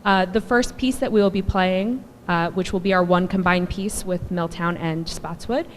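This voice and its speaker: A woman in her thirties from New Jersey, a band director speaking softly because of a cold, chatty and self-deprecating as she introduces a concert. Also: on the low side, normal speed. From New Jersey, USA. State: soft voice from a cold. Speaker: middle school band director. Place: school auditorium. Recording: echoey hall with faint noise.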